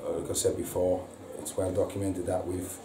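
A man, a football manager giving a halting post-match interview full of repeated words and ums. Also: sits low, level tone. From England. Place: indoor press room before a sponsor board. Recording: echoey room, distant mic, audible hiss.

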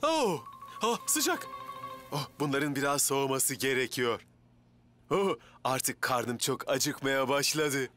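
An actor playing a clumsy, cheerful young firefighter in a cartoon dub, bumbling and full of exclamations. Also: male voice, medium pitch, lively intonation, slow pace, sharp tone, soft background music. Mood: fussing.

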